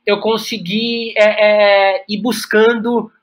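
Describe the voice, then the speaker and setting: A man's voice: high voice, little pitch movement, takes it slowly. A Brazilian man speaking Portuguese as an interview guest, reflective and hesitant, often repeating words as he searches for the next one. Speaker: guest playwright and director. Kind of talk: reflective podcast interview talk.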